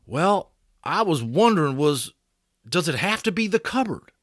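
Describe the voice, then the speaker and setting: A man voicing a rough, excitable grown cowboy who talks in folksy backcountry grammar. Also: a little high, measured pace, no echo, studio quality, voice pushed up. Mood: musing.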